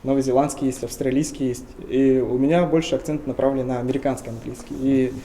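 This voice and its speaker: A young man from Tuva in Russia, answering interview questions earnestly and often pausing with hesitation sounds. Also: medium pitch, fairly even intonation, dark tone, slight background noise, low-quality recording. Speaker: interview guest.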